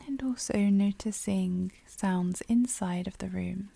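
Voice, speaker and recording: A woman leading a guided yoga nidra meditation, speaking softly and soothingly with a breathy voice that often drops near a whisper. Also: mid-range voice. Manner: soothing, gentle, unhurried pauses.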